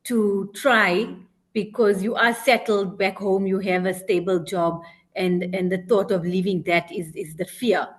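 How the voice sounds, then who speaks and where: South african woman on a podcast, talks with lots of ums and you knows, middle pitch, plain voice. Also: steady tone, measured pace. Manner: hesitant, frequent ums and "you know" fillers. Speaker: guest, teacher working abroad. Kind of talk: casual podcast interview.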